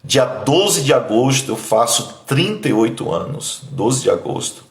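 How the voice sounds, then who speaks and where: Emphatic pastor in echoey room. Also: male voice, big pitch swings, measured pace. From Bahia, Brazil. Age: 30s. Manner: emphatic, pleading, voice dropping low and soft when emotional. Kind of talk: sermon with personal testimony.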